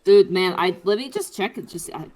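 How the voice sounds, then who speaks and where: A woman's voice: medium pitch, lively intonation, a little fast, darkish tone. A woman playing a tabletop roleplaying game, casually describing what her character does. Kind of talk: casual improvised roleplay chat.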